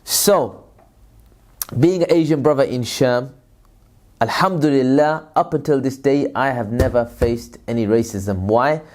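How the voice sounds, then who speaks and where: A man's voice: medium pitch, measured pace, darkish tone. A man who works in aid, answering viewers' questions in a casual vlog, with a loose, rambling delivery full of fillers and religious phrases. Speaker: aid worker and vlogger.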